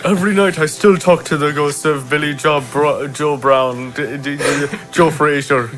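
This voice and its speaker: A rambling, teasing toy from an improvised comedy, played by a man. The voice is somewhat high, boastful and stumbling, with music underneath. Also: fairly even intonation, normal speed, fairly bright tone, close to an everyday voice. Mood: boastful, defensive. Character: rambling, taunting, foul-mouthed old-owner-boasting toy.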